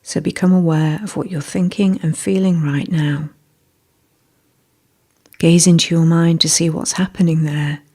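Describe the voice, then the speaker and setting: A meditation teacher narrating a guided meditation in a slow, measured, gently instructive way, with a husky, breathy voice. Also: medium pitch, level tone. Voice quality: husky, breathy, with creaky fry.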